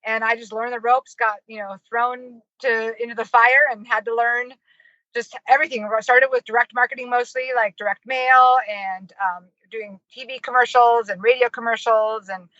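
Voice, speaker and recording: A bright, fairly high-voiced American woman talks in a chatty, giggly manner, full of fillers. She sounds like an interview guest on a dry, dull, lo-fi remote call. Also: fairly even intonation, normal speed, no echo. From United States. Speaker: marketing consultant and professor. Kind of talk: casual interview storytelling.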